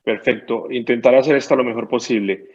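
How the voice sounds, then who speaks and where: A man's voice: mid-range voice, steady tone, fast, husky. Male moderator, friendly, reads audience questions, muffled lo-fi line. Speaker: conference moderator.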